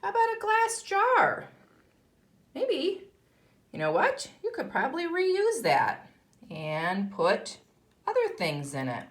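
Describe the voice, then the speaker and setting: American kindergarten teacher, very lively woman's pitch. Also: slow pace, pauses often. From United States. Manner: instructive, asks questions and answers them herself. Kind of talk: read-aloud lesson for young children.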